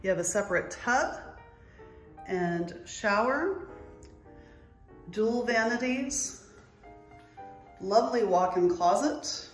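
A woman's voice: fairly high pitch, expressive, slow pace, long pauses, faint music behind. A middle-aged woman, a real estate agent, narrating a house walk-through on a handheld phone inside an empty home. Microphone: handheld phone behind the camera.

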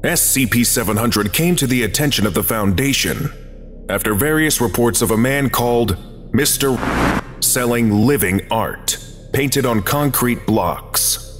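A man narrating a scripted archive briefing in a formal, measured, clinical manner. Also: medium pitch, lively intonation. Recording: long reverb, music behind. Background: music.

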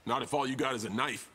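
An actor playing a crude, cocky costumed vigilante in a video game, mocking and foul-mouthed. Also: male voice, mid-range voice, sharp tone, slight background noise, close to an everyday voice. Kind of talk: video game hero acting.

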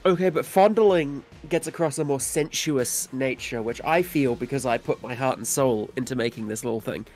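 A man with a mid-pitched, slightly congested voice co-commentates on gameplay. He is wordy and tongue-in-cheek with crude humour, and faint music plays behind him. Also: normal speed, hardly any pauses, a little mellow. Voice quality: slightly stuffy, sniffly nose. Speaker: guest co-commentator. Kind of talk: casual joking chat over gameplay.

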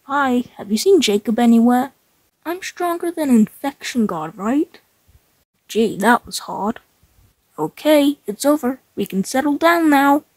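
A woman's voice: high voice, animated, measured pace, darkish tone, no echo, rough recording. A woman voicing a casual, self-assured young fighter in scripted game lines, understated in manner.

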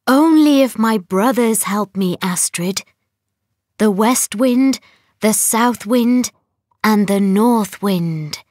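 Woman as male wind spirit, hissing, grand, slow, storybook fantasy. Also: mid-range voice, darkish tone, no room sound, studio quality, lightly acted. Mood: mysterious.

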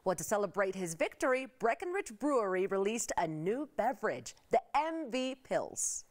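A woman presenting a scripted TV segment intro in a smooth, bright presenter manner. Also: mid-range voice, very animated, normal speed. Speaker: TV show host. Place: TV studio kitchen set.

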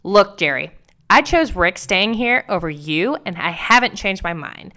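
A woman's voice: medium pitch, animated, a little slow, sharp tone. A woman playing a stern, fed-up divorced mother in a cartoon audio drama, curt and firm. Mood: annoyed.

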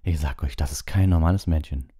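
Casual, blunt teenage lad, voiced by a man, mellow timbre, storybook style. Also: very deep voice, fairly quick, slightly rough, no echo, clean studio sound. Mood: awestruck. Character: blunt, easily smitten teenage schoolboy.

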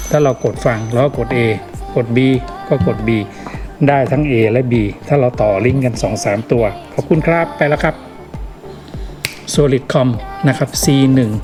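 A man giving a casual hands-on tutorial, instructing informally step by step and repeating key points. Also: medium pitch. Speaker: product demonstrator giving a tutorial. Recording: music playing behind the voice. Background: clearly audible background music.

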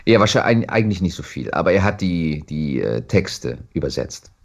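A man chatting casually as an actor being interviewed, telling stories in an animated way. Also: low-pitched, normal speed.

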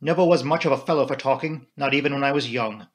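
A man voicing a gruff, humble big-game hunter, speaking in short, abrupt, simple phrases for an audiobook. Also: mid-range voice, fast, natural voice.

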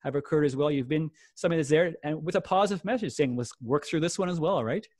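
A man's voice: on the high side, darkish tone, no echo, studio quality. A man in his forties hosting a podcast interview over a video call, talking eagerly with many fillers. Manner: fast, filler-heavy, eager. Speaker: podcast host. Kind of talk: friendly interview.

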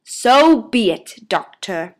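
A gloating alien tree creature, female and menacing, acted out by a woman reader for a story. She commands and taunts, drawing out her words with slow relish. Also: fairly high pitch, very animated.

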